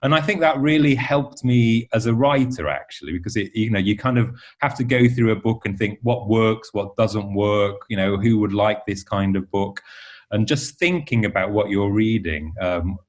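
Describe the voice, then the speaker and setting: A man's voice: lowish voice, normal speed. A man from Yorkshire with an English accent, chatting casually as an interview guest in a rambling, self-correcting way. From Yorkshire, England. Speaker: interview guest, novelist and teacher.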